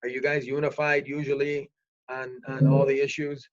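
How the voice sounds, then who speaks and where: An older man speaking forcefully in a panel discussion, repeating himself to press his point. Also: level tone, moderate pace. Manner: forceful, repetitive opinionated talk. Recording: muffled low-fidelity recording.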